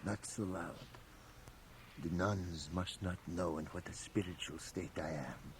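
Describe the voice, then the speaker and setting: An actor playing a very old, mischievous and learned priest in a radio drama, with a gravelly aged voice and sly, chuckling asides. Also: male voice, sits low, measured pace. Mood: hushed, serene. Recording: faint hiss, home cassette radio transfer.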